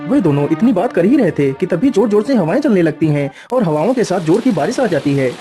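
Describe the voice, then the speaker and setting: High-pitched, animated male story narrator. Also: fairly quick, soft background music. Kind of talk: scripted Hindi cartoon storytelling.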